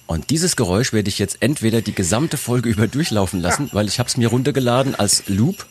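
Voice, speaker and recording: A man hosting a podcast over a video call, chatty and self-mocking, his voice hoarse from a cold. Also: medium pitch, a little mellow, slight background noise. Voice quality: husky. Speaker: podcast host. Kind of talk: casual chat.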